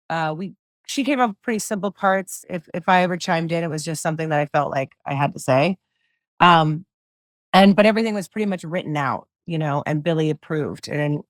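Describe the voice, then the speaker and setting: A middle-aged woman with a low voice, a singer-songwriter guest chatting loosely in an interview, full of fillers and restarts. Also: no room sound. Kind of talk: casual interview chat.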